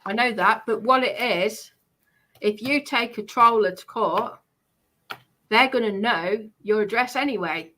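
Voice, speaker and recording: A woman's voice: a little high, unhurried, pushed and bright, slight background noise. A woman in casual debate, speaking insistently and repeating her phrases.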